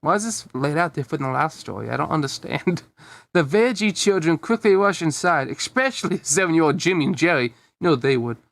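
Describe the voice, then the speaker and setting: A man's voice: fairly high pitch, expressive, fairly quick, vocal fry, a bit bright. A man reading a story aloud casually as a fan fiction narrator, dropping in his own offhand asides.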